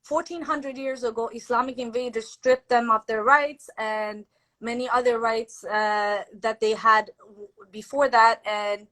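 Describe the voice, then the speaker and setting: Female guest, slow hesitant talker, bright and slightly high. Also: steady tone, rough recording. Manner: slow, hesitant, many fillers. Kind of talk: casual history talk.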